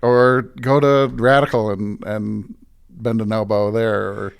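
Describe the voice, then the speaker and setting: Informal American man, lowish voice. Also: little pitch movement, unhurried, creaky, clean tone. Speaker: pastor, podcast guest. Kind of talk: casual conversational interview.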